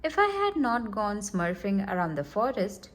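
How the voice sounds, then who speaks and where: A woman reading a children's storybook aloud in an expressive, deliberate manner. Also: mid-range voice. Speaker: storybook reader.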